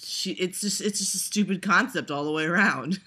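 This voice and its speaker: A woman's voice: fairly low pitch, normal speed, a bit bright, slightly rough. A woman vlogger giving a casual, exasperated, profane rant, full of fillers and restarts. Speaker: vlogger reviewing a trailer. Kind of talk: casual ranting reaction.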